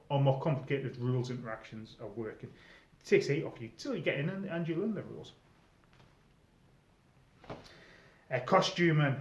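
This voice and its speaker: A British man hosts an informal advice video, talking in a chatty, explanatory way. He checks in with his listener as he goes. The sound is echoey and a little dull, as if recorded in a bare room. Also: mid-range voice. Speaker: video host, experienced live role-player. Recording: roomy echo, slightly dull sound.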